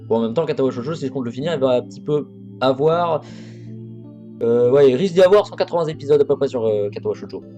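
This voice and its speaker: A man's voice: medium pitch, expressive, fast, dark tone, lo-fi sound, soft background music. A man hosting a let's play, chatting casually over a horror visual novel with crude jokes and frequent restarts. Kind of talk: casual game commentary.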